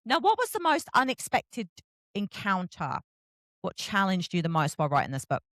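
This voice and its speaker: A woman's voice: medium pitch, wildly swooping pitch, moderate pace, a bit bright, dry recording, clean studio sound. A woman hosting a casual author interview, chatty and effusive in how she reacts and asks questions.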